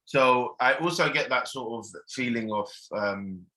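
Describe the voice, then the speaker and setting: Bright-voiced male podcast host. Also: mid-range voice, a little slow, rough recording. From Pakistan. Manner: conversational, relatable anecdotes. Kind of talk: casual podcast chat.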